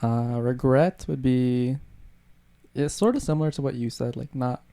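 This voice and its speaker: Mellow young man in easygoing chat. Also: mid-range voice, gravelly. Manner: halting, relaxed, restarts often. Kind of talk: casual chat.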